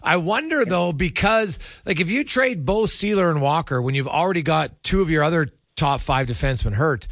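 A man's voice: on the high side, animated, moderate pace, few pauses, fairly bright tone, no echo, studio quality. A male sports radio host giving emphatic, opinionated hockey commentary in short, punchy sentences.

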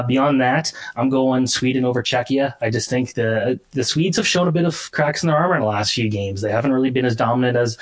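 A man giving casual sports analysis as an interview guest, often correcting himself as he goes. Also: medium pitch. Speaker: hockey analyst, guest writer.

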